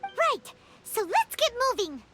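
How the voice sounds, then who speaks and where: A woman voicing a small, excitable, childlike fairy companion in a video game, blurting out curious questions and exclamations. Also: very high voice, slow pace, over music, heavily acted. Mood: eager.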